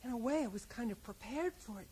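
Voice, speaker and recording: High-voiced American widow, played by an actress. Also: female voice, expressive, fast talker, mellow, natural voice, poor audio. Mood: matter-of-fact, reflective. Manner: rambling, ends remarks with tag questions. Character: plain-spoken small-town widow, resigned and insecure. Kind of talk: radio drama dialogue. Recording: faint noise, 1980s radio play.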